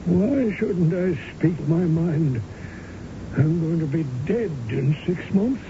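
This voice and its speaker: An actor playing an ailing, genial but sardonic old American husband in a radio drama, pompous and hesitant, clearing his throat. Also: on the high side, expressive, takes it slowly. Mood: wry, sardonic. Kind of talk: 1970s radio drama acting. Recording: noisy, muffled old radio broadcast recording.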